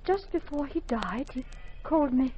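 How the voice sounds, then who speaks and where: Anguished army nurse, high voice. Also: female voice, animated, normal speed, dark tone, slightly rough. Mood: subdued grief. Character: devoted, anguished young British army nurse. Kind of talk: 1950s radio drama acting. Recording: slightly noisy 1950s radio recording.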